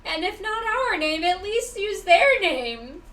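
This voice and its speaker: A woman's voice: very high-pitched, very animated, takes it slowly, fairly bright tone, far from the mic. A woman streamer casually reacting to a game live, interjecting and talking back to it.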